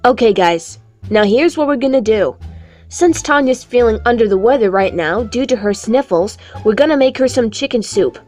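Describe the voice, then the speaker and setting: Casual: woman as a take-charge girl pal, kinda high, light music behind. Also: animated, normal speed, darkish tone, natural voice.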